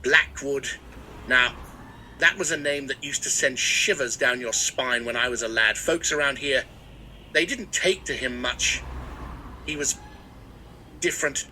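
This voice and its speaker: A man playing a superstitious old small-town historian in a mystery drama, telling folksy tales with hesitant pauses. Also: medium pitch, bright, forceful tone, slight background noise, poor audio. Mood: ominous reminiscing. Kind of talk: scripted mystery drama interview.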